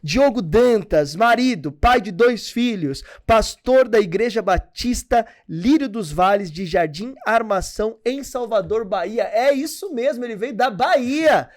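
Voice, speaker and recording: High-voiced upbeat podcast host man. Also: measured pace, hardly any pauses. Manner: upbeat, promotional, lively delivery. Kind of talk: enthusiastic podcast hosting.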